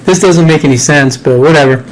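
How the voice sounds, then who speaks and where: A man's voice: on the high side, a little fast, slight background noise, rough recording. A man in his fifties co-hosting a podcast, chatting loosely with joking, sarcastic banter. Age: 50s. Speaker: podcast co-host. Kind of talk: casual chat. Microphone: computer mic in a home studio.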